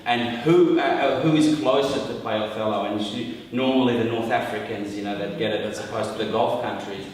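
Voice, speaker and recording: A man's voice: medium pitch, a little fast. A man from Iran, a workshop participant, talking thoughtfully in a group discussion with many restarts. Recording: echoey hall from far off.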